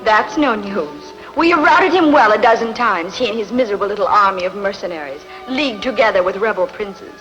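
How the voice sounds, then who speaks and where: A woman's voice: mid-range voice, lively intonation, fairly quick, few pauses, a bit bright, muffled recording, faint music behind, close to an everyday voice. A woman playing an imperious, ruthless queen in a film dub, with a commanding regal delivery. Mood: regal, assured. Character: imperious, ruthless queen pining for lost lover.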